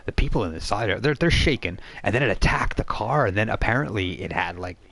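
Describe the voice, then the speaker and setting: A man's voice: on the low side, expressive, fairly quick, gravelly, fairly bright tone, full character voice. Man putting on a gushing young woman paramedic. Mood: chatty, shaken.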